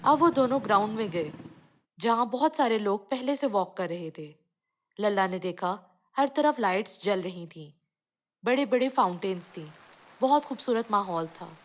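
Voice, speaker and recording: A woman's voice: medium pitch, expressive, moderate pace. A woman reading a scripted children's cartoon story as the narrator.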